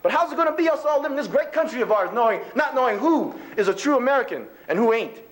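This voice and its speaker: A man's voice: very high voice, lively intonation, quick pace. A man voicing a bigoted old rancher grandfather in a folksy drawl, reciting a dramatic monologue poem. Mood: bewildered, softening. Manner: folksy drawl, exclaiming, colloquial rural speech.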